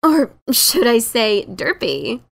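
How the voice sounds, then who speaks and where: A woman's voice: on the high side, sing-song, takes it slowly, vocal fry, breathy edge, exaggerated voice. A woman voicing a brash, teasing adventurer pony mare with a scratchy voice in a dramatic reading. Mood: teasing, giggling. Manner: cocky, teasing, informal. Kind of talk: dramatic reading of a fan story.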